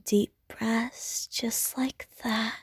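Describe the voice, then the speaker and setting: A woman voicing a flirtatious, hungry female vampire in an ASMR audio roleplay, whispering softly and teasingly. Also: a little high, little pitch movement, very slow, slightly rough, no echo, exaggerated voice. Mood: sultry whisper.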